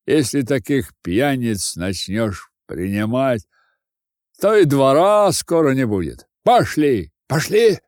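A man's voice: medium pitch, a little mellow, no room sound, clean studio sound. Man acting a grumbling, suspicious old village woman. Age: older. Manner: grumbling, slow and deliberate.

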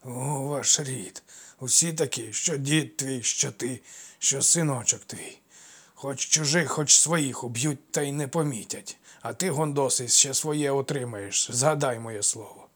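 Burly male craftsman, voiced by a man, slow, grumbling, spiteful, menacing, storybook. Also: medium pitch, lively intonation, fairly bright tone, husky, voice pushed up, heavily acted. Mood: sneering. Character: stocky broad-shouldered male craftsman, spiteful.

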